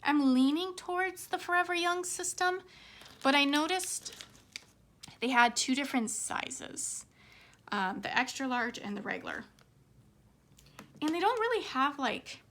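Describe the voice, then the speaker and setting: Female craft vlogger, fairly high and crisp-bright, slightly hoarse, informal rambling chat. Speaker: craft vlog host. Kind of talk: casual rambling chat to viewers while crafting.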